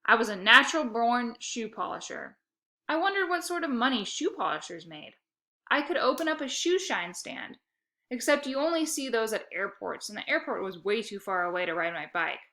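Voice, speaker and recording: A woman reading a children's book aloud in animated scripted narration. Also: fairly high pitch, moderate pace.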